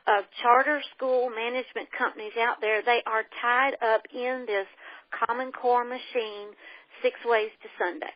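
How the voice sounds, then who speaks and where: A woman's voice: a little high, fairly even intonation, normal speed, no room sound. A woman from the United States speaking as a guest on a conference call, explaining her views in an emphatic, opinionated way with folksy turns of phrase. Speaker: guest speaker, education activist. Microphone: telephone. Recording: dull telephone conference-call line.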